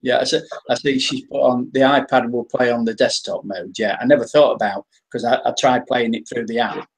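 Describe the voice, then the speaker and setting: An English man with a husky, breathy voice talks in a relaxed live-stream chat. He hesitates a lot, stacking up restarts and repeated words. His pitch sits in the ordinary middle. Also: fairly quick, poor audio. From England. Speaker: interview guest.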